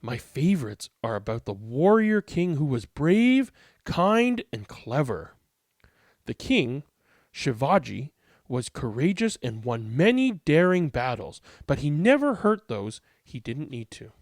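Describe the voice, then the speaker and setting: Animated man reading aloud, close dry sound. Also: mid-range voice, measured pace, no echo. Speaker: read-aloud host and storyteller. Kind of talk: expressive picture-book reading and informal commentary.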